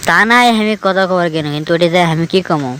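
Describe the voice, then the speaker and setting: A man's voice: high voice, fast, bright, forceful tone, a little hiss or hum. A man with a northern Bangladeshi accent playing an exasperated, scolding village friend in a cartoon skit. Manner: scolding, lecturing. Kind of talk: colloquial dialogue in a cartoon skit.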